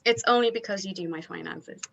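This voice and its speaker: A woman's voice: mid-range voice, fairly quick, creaky, highs cut off. A woman in a comedy table read playing a brash, scornful member of a heavy metal band. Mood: scolding.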